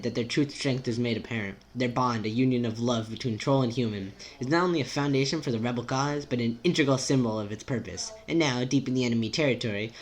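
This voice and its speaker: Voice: mid-range voice, natural voice. An amateur reader putting on a solemn, florid narrator for a scripted war story. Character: grave, grandiose storybook narrator of rebel war.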